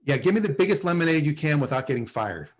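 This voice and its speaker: A lively, swooping male voice, fairly high, as a hapless sitcom salesman played by an actor. He is wry and a bit self-important, with hesitant, wheedling turns. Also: fast talker, lightly acted. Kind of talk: sitcom table read. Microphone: video call.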